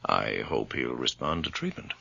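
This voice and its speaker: An actor playing a grave, confident doctor with a gravelly voice and a measured, admonishing manner in a radio drama. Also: male voice, a bass voice, lively intonation, pushed and bright, full character voice. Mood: grave, stern. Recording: dry, dull old radio recording.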